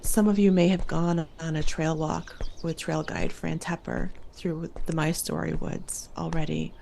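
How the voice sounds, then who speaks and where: A woman's voice: low voice, flat delivery, measured pace, vocal fry, warm tone, a little hiss or hum, full character voice. A woman playing a friendly, reassuring summer camp director who encourages her campers in an audio drama. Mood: hushed storytelling. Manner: encouraging, addresses listeners as campers.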